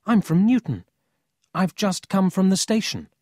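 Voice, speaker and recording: A narrator voicing an earnest, anxious young country boy in a children's storybook, quick to stand up for himself. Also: medium pitch, sing-song, mellow, no echo, studio quality, voice pushed up.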